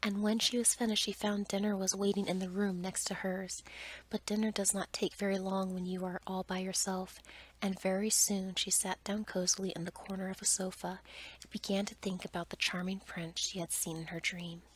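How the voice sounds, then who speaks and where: A woman's voice: medium pitch, flat delivery, normal speed. Whispering woman reading a bedtime story. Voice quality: soft, breathy whisper.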